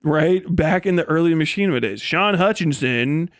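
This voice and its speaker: A man's voice: fairly high pitch, animated, normal speed, no room sound. Blunt, sweary male livestreamer, dry close sound. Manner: blunt, profanity-heavy, opinionated. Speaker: livestream host reading and answering chat. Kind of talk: casual, unscripted livestream commentary.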